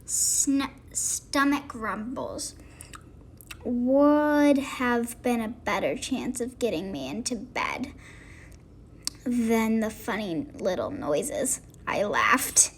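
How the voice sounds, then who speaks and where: A woman with a fairly high voice reads a children's picture book aloud. Her pace is very slow and halting, with expressive emphasis on the phrases. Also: a little hiss or hum. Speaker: read-aloud host.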